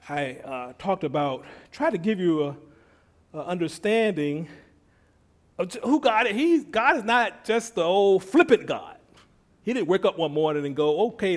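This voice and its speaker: A middle-aged male preacher with a slightly higher voice, talking to his congregation in a folksy, conversational way. His voice is soft when he is relaxed and climbs into excited shouts of praise. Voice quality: soft-spoken at rest. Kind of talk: conversational sermon.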